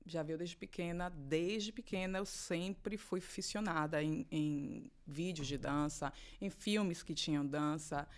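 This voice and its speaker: Lively Bahian woman, lower voice. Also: slow pace, hardly any pauses. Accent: Bahian Brazilian Portuguese. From Salvador, Bahia, Brazil. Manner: lively, laughs often, repeats herself. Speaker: guest, professional dancer. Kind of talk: casual podcast chat.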